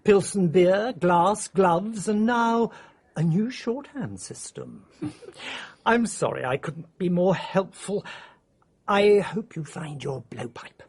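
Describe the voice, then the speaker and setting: An actor playing an eccentric, self-pleased English male mystery writer in a radio drama, effusive and theatrical, with a husky voice. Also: high voice, expressive, slow pace, exaggerated voice.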